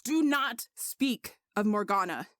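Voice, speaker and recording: A woman's voice: mid-range voice, animated, slow pace, a bit bright, dry recording, clean studio sound. A woman voicing a skeptical, commanding king in a story, with a blunt, imperious manner. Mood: stern.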